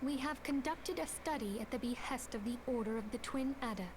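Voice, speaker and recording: A composed woman leader from a fantasy game, played by an actress, speaks in a high voice. Her delivery is formal and measured, as befits someone addressing those under her command. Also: background noise, natural voice. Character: composed, formal female leader giving a request. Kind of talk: scripted video game dialogue.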